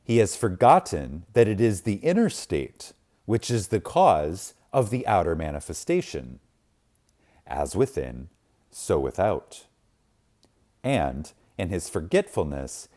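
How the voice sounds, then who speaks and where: Male reader for spiritual audiobook: low-pitched, very unhurried, reverent and solemn, weighty pauses and deliberate emphasis. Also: mellow. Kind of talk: reverent, dramatic reading of spiritual text.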